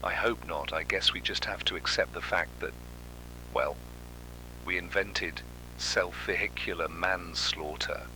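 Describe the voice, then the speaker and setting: A man's voice: low voice, measured pace, pushed and bright, slight background noise, full character voice, low-quality recording. A man playing a weary, fearful witness in a resigned, hesitant analog horror monologue. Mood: bitter resignation. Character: weary, fearful witness lamenting a world gone wrong.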